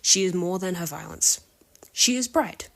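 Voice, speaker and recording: A woman's voice: fairly low pitch, moderate pace, gravelly, a bit bright. A woman recording a listener submission, giving prepared commentary for a podcast.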